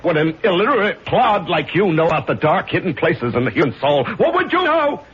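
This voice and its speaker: Pompous, high-strung, swooping judge. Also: male voice, very animated, fairly quick, slightly rough, full character voice. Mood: outraged. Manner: orotund, theatrical, vehement. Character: stern older male judge, pompous, secretly mad killer. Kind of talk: 1970s radio drama acting. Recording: dull, noisy 1970s radio recording.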